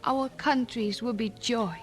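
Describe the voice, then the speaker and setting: A woman's voice: fairly high pitch, unhurried, faint music behind, close to an everyday voice. An actress playing a proud, ambitious young queen in a historical audio drama, speaking in a formal, regal manner. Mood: guarded ambition.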